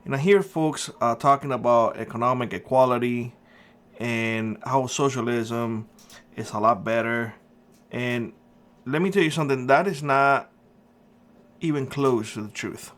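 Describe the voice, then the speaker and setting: A man's voice: mid-range voice, a little slow, a bit bright. A middle-aged man from Cuba hosting a prepper channel, talking earnestly and emphatically in a casual monologue with frequent fillers. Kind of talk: casual opinion monologue to camera.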